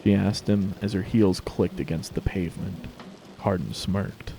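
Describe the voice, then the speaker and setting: A man's voice: deep voice, a little slow, gravelly, dark tone, a little hiss or hum. A man narrating a scripted story as a dramatic reading.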